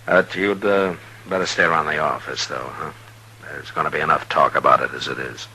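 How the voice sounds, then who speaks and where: An actor playing a firm, measured American marshal in a radio western, speaking tersely and with authority. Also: male voice, extremely low pitch, bright, forceful tone, breathy edge, exaggerated voice, rough recording. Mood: firm, reassuring. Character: steady, authoritative frontier marshal, stern but loyal. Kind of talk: 1950s radio western acting. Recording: old radio recording, faint hum and hiss.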